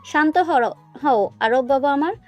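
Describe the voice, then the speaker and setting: Woman playing a doting, scheming mother, very high-pitched. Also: a little slow, faint music behind. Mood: coaxing, conspiring. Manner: fussing, coaxing. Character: doting, scheming middle-aged mother of a grown son. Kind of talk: audio love-story drama acting.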